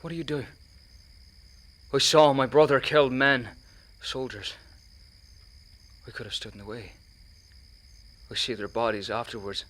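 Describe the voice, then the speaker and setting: An actor playing an earnest, anxious, haunted immigrant cab driver in a radio play, speaking simple broken English. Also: male voice, mid-range voice, steady tone, a little slow, long pauses, a bit bright.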